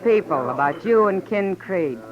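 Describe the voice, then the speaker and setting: A woman's voice: fairly low pitch, expressive, unhurried. A muffled vintage radio western with crowd noise behind a frontier saloon woman. She is loyal and direct, and minces no words. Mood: concerned. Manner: direct, blunt. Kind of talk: 1950s radio western acting.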